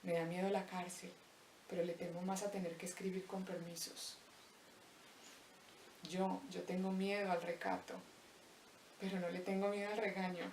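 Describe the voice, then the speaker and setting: A young woman from Colombia with a Paisa accent, slowly reciting a reflective spoken-word poem with deliberate pauses. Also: lowish voice, little pitch movement, creaky, darkish tone, slight background noise. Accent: Paisa Colombian Spanish. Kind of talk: spoken-word poetry monologue. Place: empty abandoned house. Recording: roomy echo, voice distant from mic.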